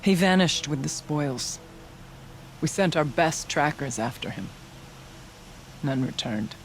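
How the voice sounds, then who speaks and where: Game shaman: devout and earnest, voice on the higher side, formal measured delivery, music underneath. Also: lively intonation. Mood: grave, measured. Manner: measured, formal, reverent phrasing. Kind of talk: dramatic video game cutscene acting.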